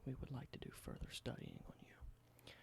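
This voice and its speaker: A man doing a whispered ASMR roleplay, explaining things slowly and correcting himself now and then. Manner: soft whisper, slow explanations with small self-corrections. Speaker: ASMR creator.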